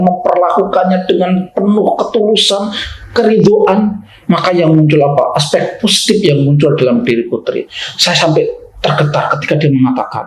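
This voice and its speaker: A man with a fairly high voice delivers opinion commentary like an explanatory lecture. He is emphatic and didactic, restating key phrases and asking rhetorical questions. The recording has a clearly roomy, echoing sound.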